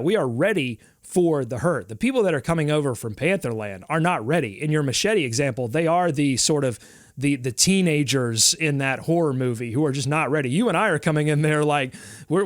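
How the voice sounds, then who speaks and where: A man's voice: fairly high pitch, no echo, clean studio sound. A male sports podcast host talking in a loose, rambling way, playfully dramatic about his team's woes. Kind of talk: casual sports talk.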